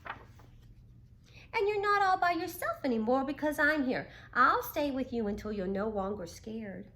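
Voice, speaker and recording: A woman voicing Frizzle, a curious, excitable young male creature in a children's storybook. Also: high-pitched, unhurried, voice pushed up. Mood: gentle, caring.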